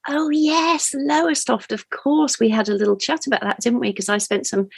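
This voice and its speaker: A woman's voice: fairly high pitch, fairly even intonation, moderate pace, creaky, breathy edge, no echo. A woman hosting a podcast who asks questions in an effusive way, piling on words of praise. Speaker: podcast host. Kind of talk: warm podcast interviewing.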